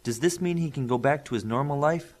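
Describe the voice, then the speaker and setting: A man's voice: mid-range voice, a little fast, a little mellow, pitched above the performer's own voice, natural voice. A man reading the part of an anxious, protective mother, earnest and insistent, in an audiobook. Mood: worried, indignant.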